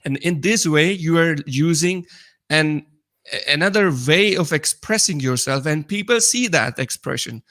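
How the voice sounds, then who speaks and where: A man with a Pakistani accent talking casually as a podcast co-host, explaining things earnestly in halting non-native English. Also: on the high side, expressive, a bit bright. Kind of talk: casual personal conversation.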